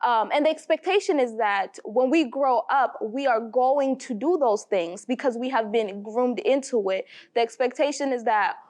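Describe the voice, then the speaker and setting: A woman in her twenties from Tanzania, a talk show guest who talks in an animated way and searches for her words. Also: a little high, moderate pace, few pauses. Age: 20s. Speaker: panel guest. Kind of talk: casual discussion.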